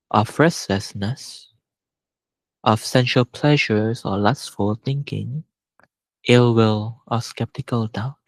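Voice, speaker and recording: A man teaches meditation in a gentle voice at a medium pitch. He speaks very slowly and leaves long pauses between short phrases. The sound is dry and close, with little room echo. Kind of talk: guided meditation.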